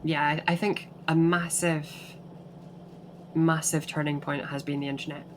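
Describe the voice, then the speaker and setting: A woman's voice: deep voice, little pitch movement, a little slow, background noise. Rambling woman with a shifting British accent. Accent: shifting mix of English, Scottish and American. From Britain. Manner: rambling, with many repeated words and restarts. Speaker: game streamer. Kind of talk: casual chat while playing a game.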